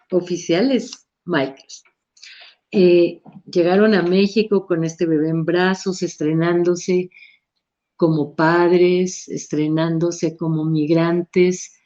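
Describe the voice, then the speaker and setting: A woman's voice: lowish voice, dark tone. A middle-aged Mexican woman with a Mexican Spanish accent, speaking thoughtfully at a talk with hesitations and restarts, in a slightly muffled recording. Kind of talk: personal reflective talk.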